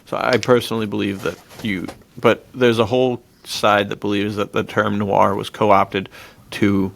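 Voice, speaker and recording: Gravelly-edged man chatting about films. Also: lowish voice, flat delivery, normal speed, a bit bright. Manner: enthusiastic, chuckles as he talks, slangy. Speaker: podcast co-host. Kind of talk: casual film discussion, retelling plots.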